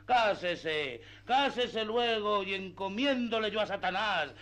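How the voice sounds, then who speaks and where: Simple grumbly peasant sidekick for a comic radio play, really high voice, exclaims a lot. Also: male voice, expressive, slow pace, fairly bright tone, exaggerated voice. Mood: indignant, pleading. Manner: exclamatory, rustic protesting. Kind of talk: comic radio drama acting.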